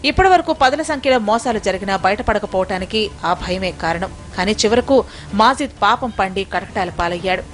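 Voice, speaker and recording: A woman's voice: medium pitch, lively intonation, moderate pace. A woman reading a scripted news voice-over in a dramatic, emphatic manner. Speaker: news reporter doing voice-over.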